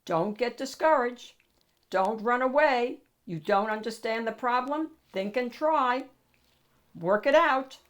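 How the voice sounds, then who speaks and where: A woman's voice: on the high side, slow, pitched above the performer's own voice. A woman voicing a firm, encouraging father in a children's picture book, speaking in short emphatic commands. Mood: firm encouragement. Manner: emphatic, clipped imperatives. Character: firm, encouraging father giving practical advice.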